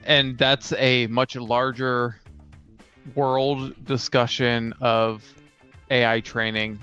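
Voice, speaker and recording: A man's voice: medium pitch, flat delivery, takes it slowly, bright, forceful tone, clear, resonant tone. A man speaking in a casual podcast discussion, in a slightly muffled, dry recording. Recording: slightly muffled, dry, faint music behind.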